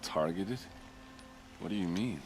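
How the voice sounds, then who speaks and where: A low-voiced captain of the guard, weighed down by grief and drink. The performance is cinematic video game acting, with music quietly playing behind him. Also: male voice, measured pace, poor audio. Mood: subdued. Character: grieving, hard-drinking male captain of the guard.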